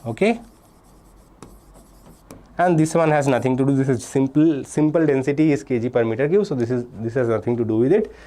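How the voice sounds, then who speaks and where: A man's voice: mid-range voice, brisk, long pauses, mellow. A young man from India teaching a live online physics exam-prep class, reading student answers from chat and repeating key points between "uh"s and "okay"s. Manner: explains step by step, reads student names and answers from chat.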